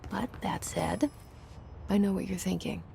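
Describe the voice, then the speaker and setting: An actress playing a guarded, haunted young woman in a video game, speaking introspective lines in a breathy, hushed, brooding way. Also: mid-range voice, a little hiss or hum. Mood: confiding, wry. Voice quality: breathy, hushed, often near a whisper. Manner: slow, brooding, with deliberate pauses. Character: guarded, haunted young woman narrating her private thoughts. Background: music.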